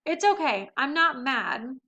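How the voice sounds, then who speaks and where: A woman voices an earnest teenage boy in a storybook. The voice is high and bright, with a direct, emphatic way of speaking that is caring yet easily annoyed. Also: sing-song, a little slow, dull audio, heavily acted. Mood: puzzled, concerned.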